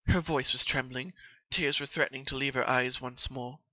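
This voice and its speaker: A man's voice: mid-range voice, steady tone, normal speed, bright, forceful tone, dry recording, low-quality recording. A man narrating a story in a dramatic scripted reading.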